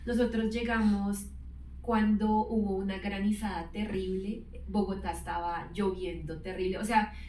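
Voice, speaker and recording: A woman's voice: mid-range voice, fairly even intonation, moderate pace, warm tone. A woman in her thirties, a native English speaker, telling a personal testimony as a guest, with many hesitant fillers. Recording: roomy, distant mic, faint background noise.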